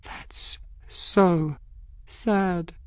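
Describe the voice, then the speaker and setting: A narrator voicing an odd, fey, flustered young apprentice girl in an audiobook, breathy and rambling to herself in the third person. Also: medium pitch, wildly swooping pitch, moderate pace, dry recording, studio quality, pitched above the performer's own voice. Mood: wistful. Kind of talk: audiobook character dialogue.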